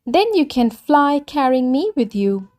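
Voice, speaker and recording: An eager, talkative tortoise from a children's storybook, played by a woman reader. He speaks in a raised pitch, earnest and sure of himself, reassuring his friends. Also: sing-song, unhurried, a little mellow. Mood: eager, persuasive. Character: talkative, eager, overconfident male tortoise with a plan.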